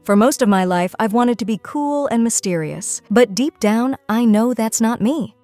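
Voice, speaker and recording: A woman's voice: a little high, expressive, moderate pace, a little mellow, soft background music. Playful woman dubbing comics. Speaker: comic dub narrator and voice actor. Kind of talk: comic dub acting.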